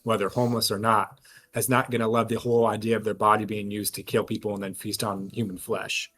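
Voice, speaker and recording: A man's voice: fairly low pitch, level tone, fairly quick, fairly bright tone. A man talking casually and analytically as he works through a mystery at length as a tabletop game player. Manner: analytical, reasons aloud at length.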